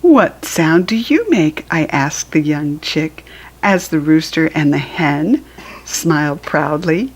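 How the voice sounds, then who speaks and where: An American woman reads a picture book aloud for small children. Her voice sits fairly low and moves with lively swings of pitch at a very unhurried pace. It is a little husky, and she talks to the listener in simple, teacherly asides. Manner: acts out animal sounds while reading. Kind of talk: read-aloud storytelling for young children with asides.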